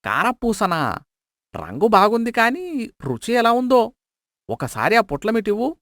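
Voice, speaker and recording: A man's voice: sits high, wildly swooping pitch, measured pace, a bit bright, no room sound, exaggerated voice. Guy doing a stingy sly cloth seller for a moral story, voice jumps up and down, wheedles a lot, dry recording. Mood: sly, wheedling. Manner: wheedling, exclamatory. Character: sly, miserly male cloth merchant, wheedling and self-justifying.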